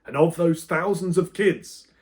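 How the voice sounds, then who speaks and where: A man voicing an enraged, balding male schoolteacher from a children's story, shouting scornful questions at a pupil. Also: a little high, unhurried, voice pushed up, natural voice. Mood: scornful rage. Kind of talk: children's audiobook character voice.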